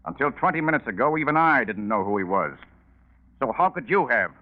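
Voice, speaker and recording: An actor playing a shrewd, dogged police lieutenant in 1940s radio drama, questioning in a probing, sardonic, controlled way. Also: male voice, mid-range voice, big pitch swings, a little fast, close to an everyday voice. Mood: confident, accusing. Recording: tinny, narrow-band 1940s radio recording.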